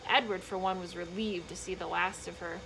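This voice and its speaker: A woman reading a children's book aloud in an expressive, emphatic storytelling manner, with short explanatory asides for young listeners. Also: fairly low pitch, a little fast, gravelly, background noise.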